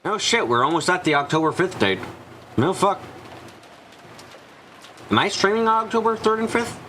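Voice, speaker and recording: A man streaming a visual novel, reading aloud and chatting in an animated, rambling way. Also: sits high, moderate pace. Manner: animated, rambling, swears freely. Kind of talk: live game stream, casual chat and dramatic reading. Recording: music behind the voice. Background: game music.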